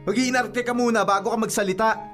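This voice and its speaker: A man's voice: high-pitched, steady tone, fast, fairly bright tone. A man playing a young boyfriend in a radio drama, pleading and stubborn, with music behind him. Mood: stubborn, irritated. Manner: coaxing, argumentative. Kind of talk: radio drama acting in Tagalog.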